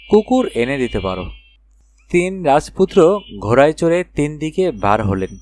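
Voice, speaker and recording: A man narrating a children's fairy tale in an expressive storytelling manner with dramatic phrasing. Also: mid-range voice. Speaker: audiobook narrator.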